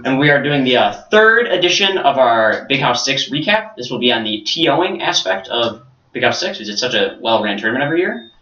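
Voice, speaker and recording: A man co-hosting a casual podcast, setting up topics and adding quick remarks. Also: mid-range voice, very animated, bright, forceful tone. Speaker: podcast co-host. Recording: roomy, distant, dull mic.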